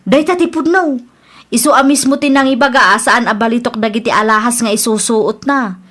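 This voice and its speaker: A woman's voice: a little high, brisk, fairly bright tone. A woman with an Ilocano accent playing a gossipy, prying in-law in a family audio drama. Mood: gossipy, insistent.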